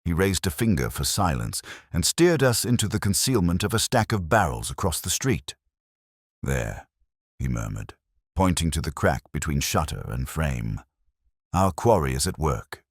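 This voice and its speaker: A man with an exceptionally deep voice narrates a Victorian detective tale. His delivery is measured and theatrical, with animated rises and falls, recorded dry and close to the ear. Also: breathy edge, dry recording. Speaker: audiobook narrator playing Dr. Watson. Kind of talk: scripted dramatic narration of a Victorian detective story.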